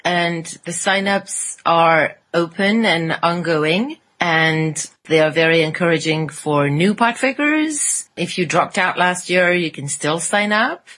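A woman's voice: lowish voice, slow, hardly any pauses, a bit bright. A woman co-hosting a fan podcast, presenting items in a conversational way. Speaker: podcast co-host.

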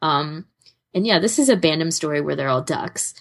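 A woman's voice: low-pitched, lively intonation, moderate pace, vocal fry. A woman co-hosting a podcast, chatting casually and giving recommendations in an informal, rambling, giggly way. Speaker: podcast co-host.

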